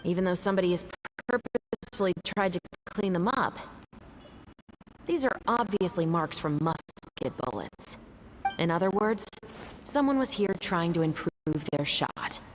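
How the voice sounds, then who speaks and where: An actress playing a composed, methodical young woman detective in a video game, speaking in a measured, articulate and analytical way. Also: fairly low pitch, animated, music in the background, close to an everyday voice, low-quality recording. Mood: calm, assured. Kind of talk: scripted video game dialogue.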